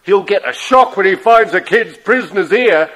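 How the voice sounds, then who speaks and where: A man playing a spiteful, bossy horse thief in a children's audio drama, rough and menacing in manner. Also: high voice, normal speed, a bit bright, low-quality recording. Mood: spiteful, threatening.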